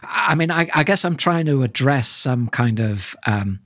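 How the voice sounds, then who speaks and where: A man's voice: mid-range voice, lively intonation, a little slow, fairly bright tone, dry recording. Thoughtful man, dry close sound. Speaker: podcast co-host. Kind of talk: reflective discussion of audio drama.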